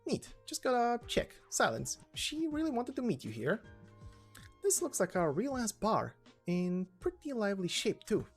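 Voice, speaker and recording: Guy doing a young curious sidekick from a game story, kinda high, chatty, bit of music behind. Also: wildly swooping pitch, moderate pace, exaggerated voice.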